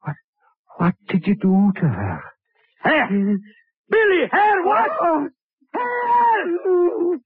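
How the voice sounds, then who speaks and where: An actor playing a grim, agitated Scottish body snatcher in theatrical old-time radio drama style. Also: male voice, very high-pitched, huge pitch swings, very slow, long pauses, no echo, heavily acted. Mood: hysterical panic. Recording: muffled, narrow-band old radio recording.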